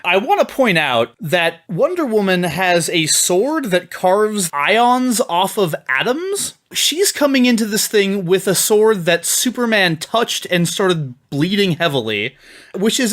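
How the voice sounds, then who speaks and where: A man's voice: high-pitched, sing-song, unhurried, a bit bright. A man debating casually on a comedy podcast, making his case in a conversational, argumentative way.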